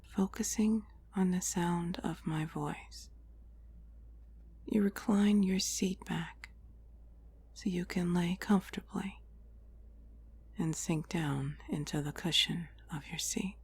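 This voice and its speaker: A woman whispering a guided meditation in a breathy, soothing, deliberate voice with long pauses between phrases. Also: lowish voice, a little hiss or hum. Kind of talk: whispered guided relaxation, ASMR-like scripted narration.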